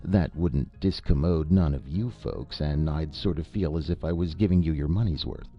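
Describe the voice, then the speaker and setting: A man voicing an earnest, slow-talking rustic detective with a drawling country manner in a storybook reading. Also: rumbling low. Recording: lo-fi with faint music.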